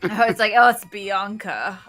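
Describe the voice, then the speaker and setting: A woman's voice: mid-range voice, lively intonation, unhurried, a bit bright. A woman voice actor chatting casually and bantering on an improvised comedy show.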